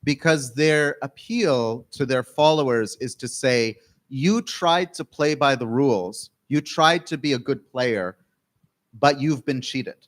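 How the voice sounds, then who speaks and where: A man from Canada giving an academic keynote lecture, speaking in measured phrases and pausing often. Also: medium pitch, animated.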